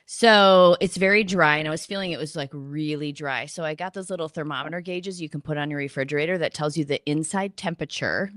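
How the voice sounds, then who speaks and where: A woman from Minnesota joins a live stream as a guest over a video call. She has a lowish voice and a friendly, relaxed storytelling manner, and her sound is dry and close. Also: fairly quick, few pauses, dry recording.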